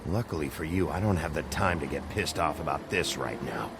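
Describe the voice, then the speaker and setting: An actor playing a gruff, impatient lawman in a video game, curt, weary and blunt. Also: very deep voice, expressive, brisk, noisy background. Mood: impatient, restrained. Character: gruff, impatient, hard-boiled lawman on a case. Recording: music behind the voice.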